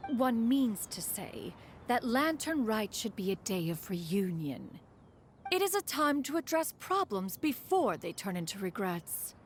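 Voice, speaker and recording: A woman's voice: on the high side, big pitch swings, unhurried, slight background noise. A woman voicing a proud, dignified immortal mistress in a video game, speaking in an archaic formal way with care beneath the haughtiness. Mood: earnest, stately. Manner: archaic formal, refers to herself as "one".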